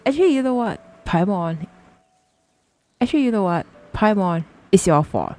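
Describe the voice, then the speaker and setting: A woman live-streaming a game with casual commentary, thinking aloud in a halting way. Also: medium pitch, lively intonation, a little mellow, soft background music.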